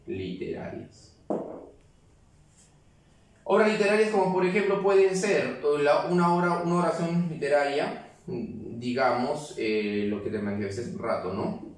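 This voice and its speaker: A man's voice: a little high, measured pace, slight background noise. Male teacher, keeps checking in with the class; heavy room echo, mic far away. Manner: explains step by step, keeps checking with "¿ya?". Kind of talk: classroom grammar lesson.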